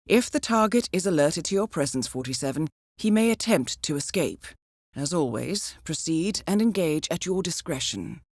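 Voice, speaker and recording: Casting: game intelligence handler, female, composed and understated, slightly low pitch, precise formal briefings, very dry recording. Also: lively intonation, darkish tone, no echo. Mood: composed, businesslike. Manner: measured, formal, coolly understated. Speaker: mission handler. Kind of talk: scripted video game voice acting, mission briefing.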